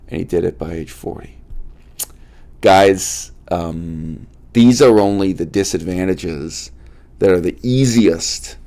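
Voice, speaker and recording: Deep gravelly man lecturing viewers. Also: darkish tone. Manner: emphatic, repeats key words for stress; addresses viewers directly, asks rhetorical questions. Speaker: channel host. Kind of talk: unscripted philosophical monologue to camera.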